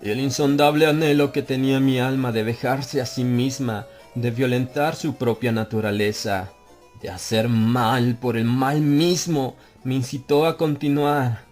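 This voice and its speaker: A man narrating a dark literary tale as an audiobook, in a grave, measured first-person delivery. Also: mid-range voice. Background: soft music and ambient effects.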